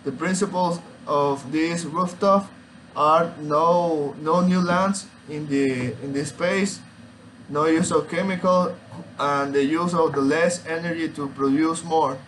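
Slow, halting young man presenting. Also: on the high side, fairly even intonation, a bit bright, slight background noise, poor audio. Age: young adult. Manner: halting, hesitant, searching for words. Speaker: student. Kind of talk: informal student oral presentation. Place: small room at home, indoors.

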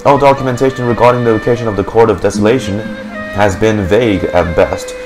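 A man's voice: on the low side, steady tone, fast talker. A young man streaming a game, reading its text aloud and reacting casually into a close microphone. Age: young adult. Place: indoor room. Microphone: desk microphone on boom arm, close. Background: game music.

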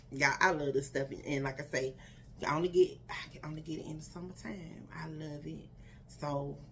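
A woman talks casually to camera from her living room, with a lower voice and an unhurried delivery. She addresses her viewers directly in a chatty, animated way. Faint background hiss sits under the recording. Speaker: vlogger. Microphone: camera in front at desk distance.

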